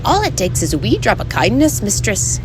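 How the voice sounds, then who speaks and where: A young pixie lad acted out by a woman, pitched high and swooping, with a Scottish accent. He explains things in a patient, folksy storybook manner over clear noise. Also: huge pitch swings, normal speed. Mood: eager, chatty.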